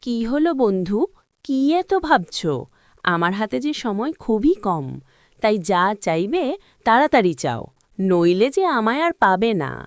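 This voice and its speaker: A woman's voice: mid-range voice, big pitch swings, measured pace, dry recording, studio quality. A woman voicing an impatient, urging magical fairy in a scripted fairy-tale cartoon. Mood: urgent, teasing. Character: brisk magical female fairy offering help.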